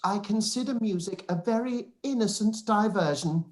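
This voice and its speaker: An actor playing a pompous, obsequious clergyman in a radio play, halting, wordy and self-important. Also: medium pitch, a little mellow, lightly acted. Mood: self-satisfied. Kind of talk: radio play live read acting.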